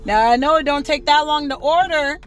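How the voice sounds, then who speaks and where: A hoarse young woman chatting playfully in a parked car. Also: high voice, brisk. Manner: playful, laughing, churchy slang. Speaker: vlog co-host. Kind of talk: casual chat in a car. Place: parked car in a drive-thru line.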